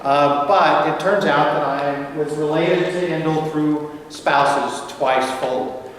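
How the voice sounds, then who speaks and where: A man's voice: on the high side, fairly even intonation, takes it slowly, fairly bright tone, a little hiss or hum. An older man giving a friendly, rambling academic lecture with many fillers and restarts. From United States. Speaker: guest lecturer. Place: large lecture hall. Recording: echoey hall from far off.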